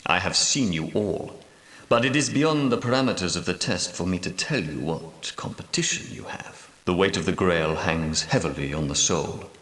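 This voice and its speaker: An old knightly guardian of a holy relic in a video game. His voice is low, solemn and portentous, with formal phrasing. It rings in a roomy echo, a little distant from the microphone. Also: expressive, moderate pace, a bit bright. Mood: measured, regretful. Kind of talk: video game dramatic dialogue.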